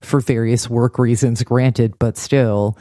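A woman with a dark, mellow voice talks as a podcast co-host in a dry, close-sounding space. Her casual recap chat is blunt and sardonic. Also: rumbling low, steady tone, slow, no echo.

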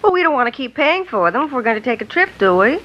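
An actress playing a greedy, sharp-tongued woman in a cult, spiteful and demanding, in a 1950s radio drama. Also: high voice, very animated, quick pace, a little hiss or hum. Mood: quarrelsome. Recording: dull lo-fi 1950s radio broadcast.

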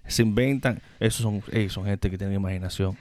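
A man's voice: lowish voice, brisk, a little mellow, no room sound. A man with a Dominican Spanish accent, talking casually and slangily as a podcast co-host, often repeating words as he goes. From Dominican Republic. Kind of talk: casual conversational chat.

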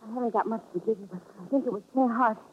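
A thin, narrow vintage radio recording carries a quick-talking young American woman played by an actress. She is a streetwise, wary informant from a detective serial, blunt and on edge. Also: on the high side, slight background noise, natural voice. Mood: guarded, blunt. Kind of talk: 1940s radio drama acting.

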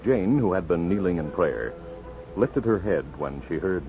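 A man's voice: deep voice, lively intonation, moderate pace, faint music behind. A man narrating and announcing in a dramatic, polished, emphatic 1950s radio style. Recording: tinny, noisy 1950s radio recording.

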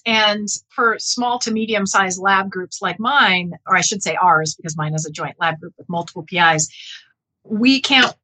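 A woman speaking as a professor in an interview, explaining her research in an earnest, measured, halting way with self-corrections. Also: medium pitch, sharp tone, rough recording.

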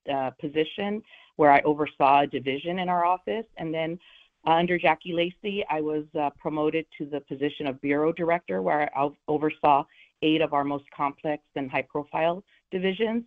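A woman from Los Angeles County, a prosecutor running for office, speaking firmly and deliberately as a radio guest. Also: deep voice. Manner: firm, measured, with frequent "uh" fillers. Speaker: prosecutor and political candidate. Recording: phone line.

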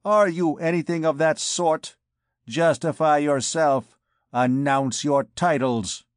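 A man doing a booming, scornful beggar king. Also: slow, no room sound, clean studio sound. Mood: menacing. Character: menacing mocking male beggar king, grandiose.

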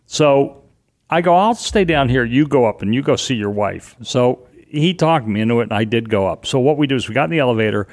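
A middle-aged man with a loud voice, telling a personal story as an interview guest, animated and vivid, acting out what people said. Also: medium pitch, a little fast. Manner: vivid, animated retelling with acted-out quotes.

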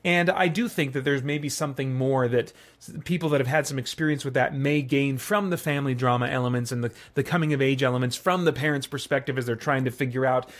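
A man's voice: mid-range voice, fast talker. A middle-aged man hosting a podcast, giving a casual review in a conversational way, thinking aloud as he goes. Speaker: podcast host and film reviewer. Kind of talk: casual, semi-improvised movie review.

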